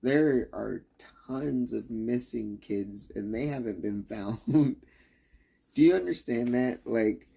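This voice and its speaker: A man's voice: medium pitch, slow pace, vocal fry, warm tone, slightly rough. A man on a livestream, talking in a profane, rambling rant that keeps restarting its sentences and challenges the listener.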